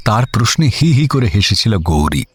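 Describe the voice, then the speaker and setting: নিচু, ওঠানামা করা গলায় পুরুষ গল্পকথক. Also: male voice, lowish voice, sing-song, moderate pace, slightly rough, no room sound. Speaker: story narrator. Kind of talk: scripted radio story narration. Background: background music.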